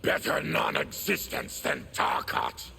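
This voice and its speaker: A grave, bitter mutant warrior speaks in a low, heavily gravelled growl. His delivery is slow and solemn, swinging dramatically in pitch like a tormented video game fighter. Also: huge pitch swings, bright, forceful tone, slight background noise, heavily acted. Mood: bitter, accusing. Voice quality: gravelly, guttural. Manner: solemn, deliberate, formal.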